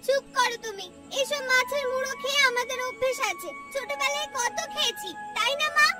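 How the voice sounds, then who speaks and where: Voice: very high voice, brisk. A performer voicing bossy, food-loving village women and girls in a cartoon. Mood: insistent. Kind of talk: Bengali cartoon dubbing. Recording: distant voice under clear music.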